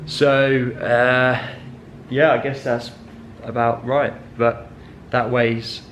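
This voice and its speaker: A man's voice: mid-range voice, little pitch movement, very slow, fairly bright tone, a little hiss or hum. A young man chatting casually in a car vlog, rambling with many ums and correcting himself as he goes. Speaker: car vlogger.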